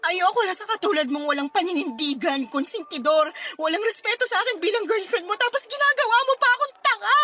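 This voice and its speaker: High, sharp-voiced angry Filipino girlfriend. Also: lively intonation, fast talker, few pauses, heavily acted. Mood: furious. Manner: confrontational, sarcastic. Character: angry, hurt young girlfriend breaking up with her boyfriend. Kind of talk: radio drama acting.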